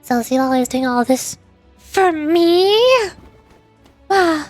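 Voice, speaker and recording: A little fairy companion from a game, with a very high voice, played by a woman. She is naive, blunt and chatty, with music underneath. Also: slow pace, darkish tone. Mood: innocent, blunt. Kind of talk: game dialogue read aloud.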